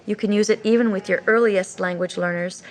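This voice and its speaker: A woman's voice: mid-range voice, steady tone, fairly quick. A woman with an American accent giving an informal, explanatory talk as a teacher, presenting and reading children's books for English learners. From United States. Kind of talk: educational talk with read-aloud of picture books.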